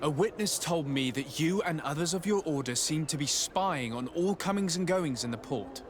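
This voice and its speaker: A man's voice: on the high side, expressive, normal speed, background noise, natural voice. A man voicing a composed, diplomatic emissary in a video game, polite, formal and probing.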